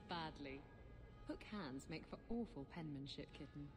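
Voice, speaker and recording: A roguish pirate woman in a fantasy video game. She is playful and highly animated, with a long echo around her voice and clear music behind. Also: slow pace. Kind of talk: video game dialogue acting. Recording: quiet echoing game audio with music.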